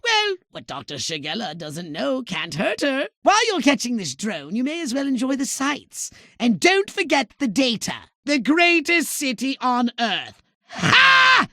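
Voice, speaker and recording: An actor voicing a fussy, haughty, scheming alien scientist commander for a video game, with a pedantic, sardonic, theatrical delivery. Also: male voice, very high voice, wildly swooping pitch, measured pace, pushed and bright, dry recording, clean studio sound, heavily acted. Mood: sardonic, accusing.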